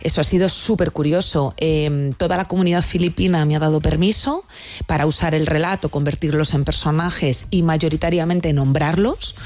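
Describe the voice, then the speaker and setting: A woman's voice: sits low, steady tone, moderate pace, a little mellow. A woman with an accent from Spain, explaining her work conversationally as an interview guest. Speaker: theatre maker, interview guest.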